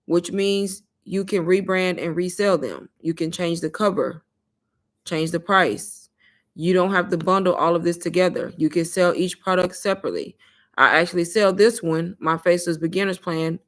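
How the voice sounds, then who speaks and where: A woman hosting a casual livestream, pitching an online course and taking viewers' questions in a chatty, repetitive way while calling her viewers "y'all.". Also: a bit bright. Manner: chatty, repeats herself, addresses viewers as "y'all".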